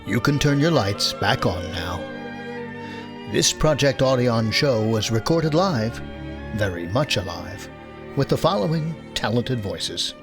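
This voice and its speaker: A man's voice: medium pitch, sing-song, unhurried, over music. A man reading scripted announcements as the host of a radio drama show.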